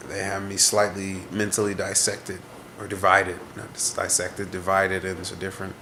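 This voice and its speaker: Vlogger, young man, low gravelly voice, stuffy nose, rambling and introspective. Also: fairly bright tone, a little hiss or hum. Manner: rambling, introspective, searching for words. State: congested. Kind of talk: casual reflective venting to camera.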